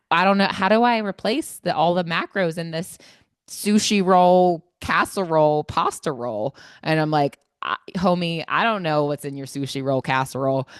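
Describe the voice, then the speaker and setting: A woman's voice: very deep voice, no echo, clean studio sound. A woman hosting a casual solo podcast on nutrition, sounding stuffy and congested, chatty and informal with lots of fillers. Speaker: podcast host and fitness coach.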